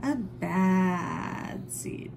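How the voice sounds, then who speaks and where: Slow-paced woman reading a storybook aloud. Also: medium pitch, creaky, a little mellow, slight background noise. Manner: dramatic, drawn-out emphasis on key phrases. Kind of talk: expressive children's read-aloud. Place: indoors at a table, book held before camera.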